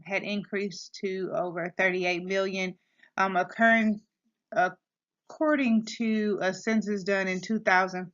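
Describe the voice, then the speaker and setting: A woman's voice: mid-range voice, fairly bright tone. A woman giving a class presentation, hesitant, with many filler sounds and restarts. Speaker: student presenter. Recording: dull lo-fi recording.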